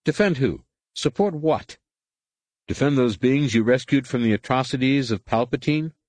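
A man's voice: medium pitch, expressive, moderate pace, darkish tone, dry recording, voice pushed up, lightly acted. A man reading aloud, voicing an angry, defiant middle-aged male warrior-monk who argues in a heated, rising way. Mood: impassioned.

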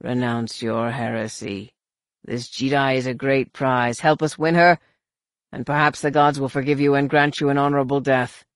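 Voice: medium pitch, little pitch movement, brisk, dry recording, natural voice. A narrator voicing a massive alien war leader from an audiobook, contemptuous and zealous, with a sneering, menacing delivery. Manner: sneering, menacing, barked commands.

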